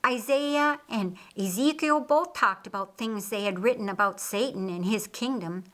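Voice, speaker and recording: A woman's voice: mid-range voice, moderate pace, fairly bright tone, lightly acted. A woman voicing an eager, questioning grown man, someone's brother, in audiobook dialogue. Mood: inquisitive. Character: Lazarus, inquisitive, eager adult brother.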